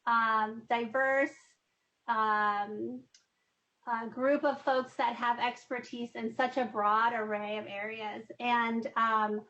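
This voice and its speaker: Slightly high woman commissioner, welcoming. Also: flat delivery, slow pace, bright, forceful tone. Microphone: video call. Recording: dull lo-fi video call audio.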